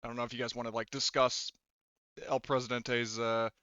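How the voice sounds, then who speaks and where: A man speaking casually and haltingly as he narrates and runs a tabletop roleplaying game as game master. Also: medium pitch, little pitch movement, sharp tone, dry recording, dull audio. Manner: halting, conversational, casual swearing.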